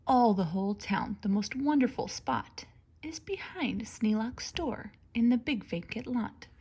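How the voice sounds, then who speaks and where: A woman's voice: on the high side, expressive, measured pace, darkish tone, husky. A woman teacher reading a rhyming children's storybook aloud, playful and sing-song.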